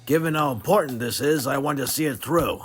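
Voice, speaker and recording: A man's voice: mid-range voice, a little fast, a little hiss or hum, lightly acted. A man playing an ancient, loyal temple guardian in an audio drama, speaking earnestly and formally with casual touches. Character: ancient male temple guardian freed from a tomb, loyal oath-keeper.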